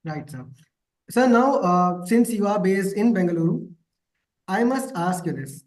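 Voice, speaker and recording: High-pitched man hosting an interview. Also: lively intonation, measured pace, rough recording. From north India. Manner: formal, deferential. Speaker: interview host.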